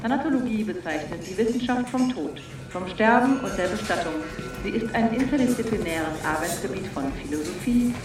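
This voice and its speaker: Actress for ceremonial woman announcer, radio play, mid-pitched, booming echo with music. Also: animated, a little fast, natural voice. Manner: declamatory, formal. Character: formal female congress announcer listing disciplines. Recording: echoing distant gymnasium, music behind.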